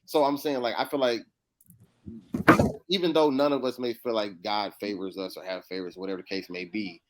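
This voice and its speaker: A man with a fairly low voice and an American accent talks as a podcast co-host. He circles his point, restarting and repeating words, in a casual conversational style. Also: fairly even intonation, brisk, a little hiss or hum, rough recording. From United States.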